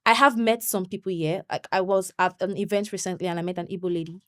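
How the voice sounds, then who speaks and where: A young woman speaks in a fairly low voice, talking in an animated way with frequent laughter. The sound is dry and close, with no room echo. She chats easily as an interview guest. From Nigeria. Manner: animated, laughs often, quick bursts when excited. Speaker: podcast guest. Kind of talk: conversational interview.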